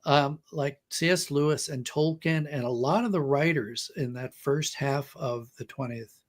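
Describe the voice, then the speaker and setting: A man's voice: a little high, measured pace. A middle-aged or older man in a podcast conversation, talking reflectively about books like a storyteller and illustrating his points with acted-out quotes. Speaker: podcast guest, writer. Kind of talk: conversational storytelling and literary discussion.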